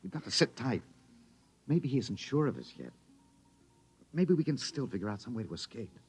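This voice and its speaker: An actor playing a tense, hunted husband on the run in a 1950s radio drama, urgent and protective. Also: male voice, medium pitch, sing-song, normal speed, vocal fry, darkish tone, slightly rough, exaggerated voice. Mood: bitter, wary. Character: tense, hunted husband, a fugitive time traveler.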